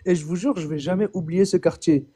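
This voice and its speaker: A young man from Chad tells his story on a call-in live stream. He has a rather high, very bright voice. He hesitates, repeats himself and keeps swearing that he is telling the truth. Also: husky. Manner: halting, repeats words, often says "je vous jure". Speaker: caller. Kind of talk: phoned-in personal testimony.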